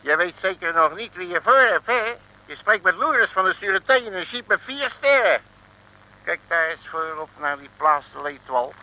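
An actor playing a brash, bumbling, self-assured Dutch detective in an old comic radio serial, blustering in colloquial slang with a Dutch accent. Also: male voice, high voice, lively intonation, normal speed, pushed and bright, full character voice. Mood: cocky, indignant. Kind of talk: 1950s-60s comic radio serial acting. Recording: noisy old radio recording.

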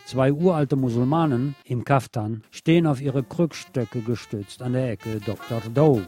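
A man hosting a late-night radio show, delivering a literary scripted monologue in a deliberate, poetic storytelling way. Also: mid-range voice, mellow. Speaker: radio host. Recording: music playing under the voice. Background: music.

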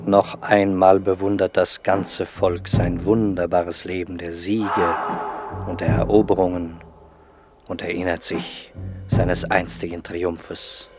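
A man's voice: low voice, flat delivery, slow pace. A man narrating a radio drama about a Roman state funeral in a solemn, scripted style. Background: music.

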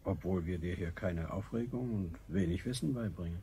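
A man's voice: deep voice, normal speed, warm tone, slightly rough, full character voice. An actor playing a clinical, disdainful king-father in a radio play, patronising and detached. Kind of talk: 1970s German radio play acting.

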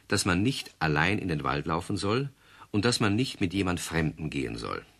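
A man narrates a children's radio play like a measured storyteller. His voice is very deep and moves a lot in pitch. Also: a bit bright, slightly rough. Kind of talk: scripted narration.

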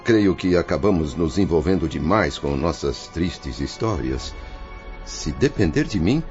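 A man's voice: low voice, animated, unhurried. A man acting a weary, ashamed hospital patient in a radio drama, formal and contrite. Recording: muffled audio with soft music.

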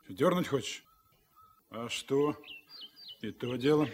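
An actor playing a cynical, coarse deckhand who mocks in colloquial speech, in a 1970s Soviet radio drama. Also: male voice, medium pitch, animated, slow pace, a little hiss or hum. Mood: grudging. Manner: mocking, colloquial.

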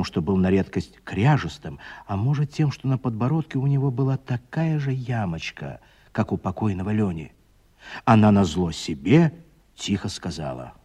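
A man narrating a literary radio play with expressive, scripted storytelling. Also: low-pitched, slightly rough.

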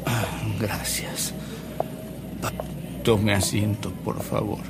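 An actor playing a devout, earnest older man in a Mexican radio drama, speaking solemnly and deliberately with dramatic pauses. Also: on the low side, little pitch movement, creaky, over loud music, heavily acted. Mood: frail, courteous. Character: frail, devout older man, a converted skeptic, reverent and earnest.

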